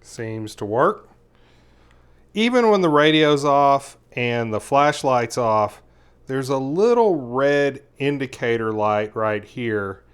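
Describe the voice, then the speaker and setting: Clear-voiced man demonstrating a gadget. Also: medium pitch, expressive, takes it slowly. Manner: methodical, explains each step. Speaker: product reviewer. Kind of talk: casual hands-on gadget demonstration.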